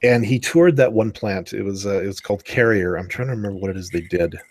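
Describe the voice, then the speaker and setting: Male podcast co-host, low-pitched, meandering casual delivery. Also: fast talker, background noise. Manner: rambling, self-correcting. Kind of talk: casual chat.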